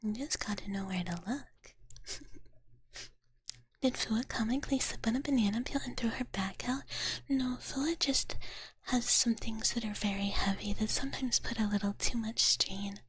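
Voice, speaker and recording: Softly whispering woman, very breathy ASMR. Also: a little high, measured pace, no echo. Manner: cutesy, gentle, refers to herself in third person. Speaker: livestreamer playing a dragon big-sister persona. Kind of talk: ASMR, whispered live chat with viewers. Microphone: close ASMR microphone.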